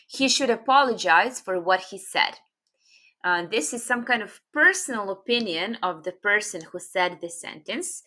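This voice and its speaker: Young Serbian-native woman, bright tone, patient English teacher full of ums. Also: medium pitch. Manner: patient, explains slowly with many fillers. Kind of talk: casual online grammar lesson.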